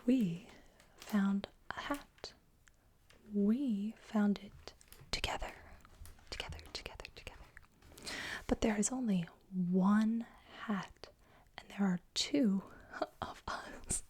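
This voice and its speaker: A woman's voice: mid-range voice, slow, long pauses, slightly rough. A young woman whispering children's books aloud as ASMR, with amused asides. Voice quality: soft whisper. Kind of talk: ASMR storybook reading.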